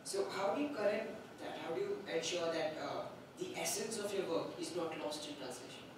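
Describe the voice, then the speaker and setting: A man's voice: on the high side, brisk, far from the mic. Male moderator in a reverberant hall. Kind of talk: asking panel questions. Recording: echoey hall, mic from afar, hum.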